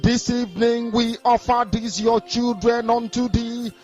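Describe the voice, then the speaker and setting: A man's voice: extremely high pitch, level tone, unhurried, darkish tone. A man leading a chanted prayer in a sing-song intoning voice. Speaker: prayer leader. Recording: dull lo-fi audio with music.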